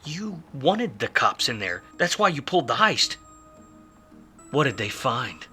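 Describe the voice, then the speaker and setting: An actor playing a wry, world-weary hardboiled private detective in a 1940s film noir radio play, full of slang. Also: male voice, mid-range voice, big pitch swings, measured pace, sharp tone, soft background music, full character voice. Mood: probing, insistent.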